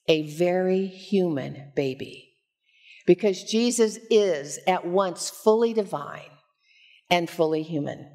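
Slow-paced older woman preaching. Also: mid-range voice. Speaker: pastor. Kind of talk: sermon with personal storytelling. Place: church sanctuary, at a pulpit. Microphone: headset.

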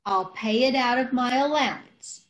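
A woman as a slow-talking, scatterbrained radio-comedy wife. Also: a little high, natural voice. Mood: matter-of-fact. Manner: deadpan, unhurried. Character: scatterbrained, artfully innocent wife, mangles sayings. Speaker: amateur radio troupe actor. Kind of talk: old-time radio comedy acting. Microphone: video call.

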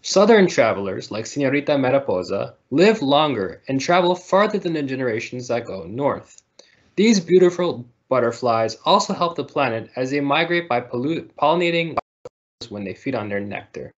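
A man reads a picture book aloud for young children. His mid-pitched voice rises and falls in a very animated way, heard through dull, lo-fi video-call audio. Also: moderate pace. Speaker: storybook reader.

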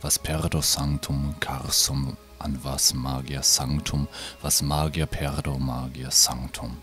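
A gruff old druid with a rumbling, extremely low voice. He speaks slowly and solemnly in a fantasy radio play, with faint music behind him. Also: flat delivery, fairly bright tone, heavily acted. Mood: solemn chanting. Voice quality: very deep, gruff.

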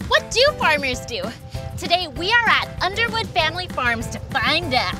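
A woman's voice: very high-pitched, very animated, moderate pace, over music. A young woman presenting an educational children's show outdoors at a farm, in an exaggerated, sing-song, exclamatory way. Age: young adult. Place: outdoors at a farm with animal pens.

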